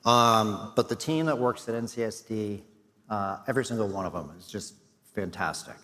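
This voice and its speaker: A middle-aged man, hoarse and losing his voice, giving host remarks and thanks at a conference with a self-deprecating, filler-heavy manner. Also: fairly low pitch, normal speed, sharp tone. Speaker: executive director. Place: large conference hall. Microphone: stage mic. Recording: long hall reverb.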